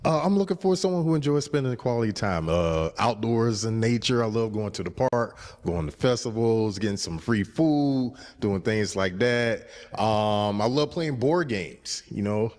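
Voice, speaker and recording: A man on a dating show answering questions in a relaxed, hesitant way with many fillers. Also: on the low side, normal speed, few pauses, long reverb tail.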